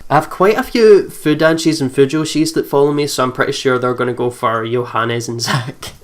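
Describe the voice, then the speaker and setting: A let's play host reading a horror visual novel aloud and commenting casually, with excitable, jumpy reactions. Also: medium pitch, a little fast. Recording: music behind the voice. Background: game music.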